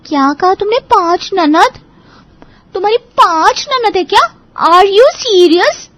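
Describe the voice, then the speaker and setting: A woman's voice: very high voice, expressive, takes it slowly, fairly bright tone, no echo, heavily acted. A woman playing a young married friend who is blunt, cynical and emphatic in her warnings, in an audio story drama. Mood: shocked concern.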